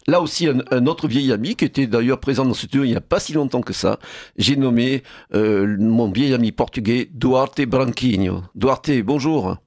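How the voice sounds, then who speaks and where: A man's voice: mid-range voice, a little mellow, no echo, clean studio sound. Hesitant man with a Languedoc accent. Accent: Languedoc French. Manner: measured, hesitant, many fillers. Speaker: radio host. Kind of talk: radio talk show. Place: radio studio. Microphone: studio microphone.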